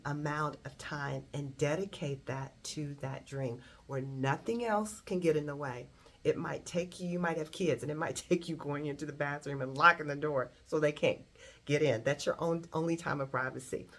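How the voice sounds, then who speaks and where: Middle-aged woman, extremely low-pitched, gravelly, motivational coach style, earnest and emphatic delivery. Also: normal speed. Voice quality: very deep voice, husky. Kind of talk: motivational talk to camera.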